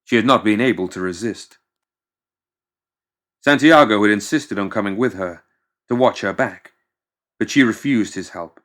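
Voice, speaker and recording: A man with a fairly low voice narrates a story for an audiobook. His delivery is measured and dramatic, shaped as scripted fiction storytelling. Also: a bit bright.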